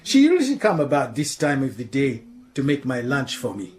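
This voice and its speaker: A woman voicing a gentle, soft-spoken middle-aged man, placating and unhurried. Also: very deep voice, wildly swooping pitch, full character voice. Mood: mild. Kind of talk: radio drama acting.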